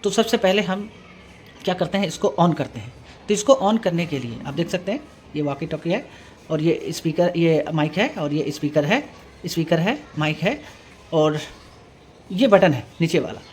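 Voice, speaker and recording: A man presenting a hands-on tech tutorial, explaining step by step in a halting, repetitive way. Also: a little high, animated. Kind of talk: hands-on product demonstration. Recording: clearly noisy room recording with ambience.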